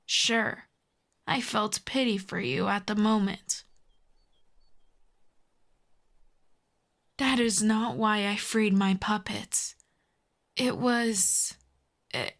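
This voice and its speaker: Slow, haughty, teasing villainess played by a woman. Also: fairly high pitch. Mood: flustered, defensive. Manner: haughty, teasing, slow deliberate delivery with soft whispers. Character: proud telepathic villainess, secretly fond of a hero. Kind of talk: intimate ASMR audio roleplay.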